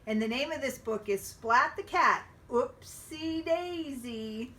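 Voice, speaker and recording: A woman reading a picture book aloud to young children, expressively and with drawn-out emphasis. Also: sits high, expressive, pushed and bright, recorded from a distance. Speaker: children's storybook reader.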